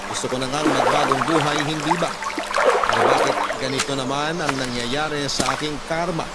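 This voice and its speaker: A man's voice: medium pitch, steady tone, brisk, very noisy. A man with a Filipino accent reading a first-person letter as a radio drama narrator, emotive and sorrowful. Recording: loud background music under the voice.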